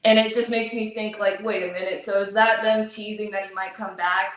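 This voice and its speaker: A talkative woman guest chatting in a very echoey room. She fills her speech with ums and likes, laughs easily, and her voice is wearing thin and hoarse. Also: mid-range voice, little pitch movement, brisk, fairly bright tone. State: losing her voice. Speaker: actress guest. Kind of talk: casual interview chat.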